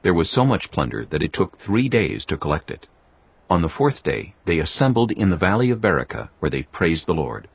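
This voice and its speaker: A man narrating scripture in a measured, solemn, scripted reading for an audio Bible. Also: deep voice, big pitch swings, gravelly, slightly rough, dry recording. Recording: dull lo-fi audio with faint music.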